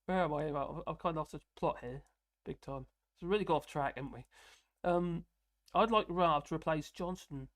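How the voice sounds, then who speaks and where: A man from the UK with a fairly high voice, hosting a live stream and rambling casually through viewer comments with frequent chuckles. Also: normal speed, a bit bright. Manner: rambling, self-deprecating, chuckling often.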